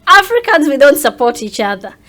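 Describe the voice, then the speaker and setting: Casting: woman with a Ugandan accent and a high voice, conversational interview guest who explains in an animated way. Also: brisk. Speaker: interview guest, social media content creator. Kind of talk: casual interview chat.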